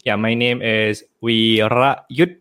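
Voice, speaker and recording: A man from Thailand with a Thai accent, Thai his first language, speaking English as a podcast guest and often pausing to find words. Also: medium pitch, steady tone, a bit bright, smooth. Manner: searching for words, hesitant, often says "how to say". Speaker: Thai teacher and translator, guest. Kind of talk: casual podcast chat.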